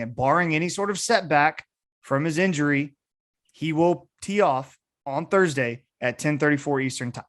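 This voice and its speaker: A man's voice: a little high, a bit bright. A man hosting a sports podcast, giving casual, enthusiastic commentary like a keen fan.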